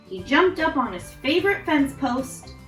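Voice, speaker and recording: A woman hosting storytime, reading picture books aloud to children in an expressive, encouraging way. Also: sits high, a little slow, echoey room, far from the mic, soft background music. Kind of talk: animated read-aloud to children.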